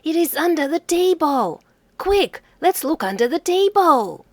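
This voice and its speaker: A woman's voice: high-pitched, sing-song, slow, no room sound, clean studio sound, voice pushed up, heavily acted. A woman voicing an enthusiastic little boy on a treasure hunt in an eager, exclamatory storybook reading. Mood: eager, curious.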